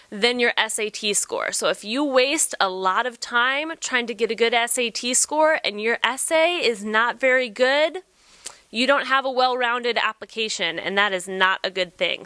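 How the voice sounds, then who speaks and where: A woman giving direct advice to students in an emphatic, deliberate way, like an admissions advisor. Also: a little high, pushed and bright, no room sound.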